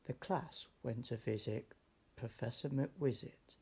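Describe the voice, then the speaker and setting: A man reading a rhyming children's story aloud in an expressive, theatrical, measured way. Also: medium pitch, vocal fry, slightly rough. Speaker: storybook reader.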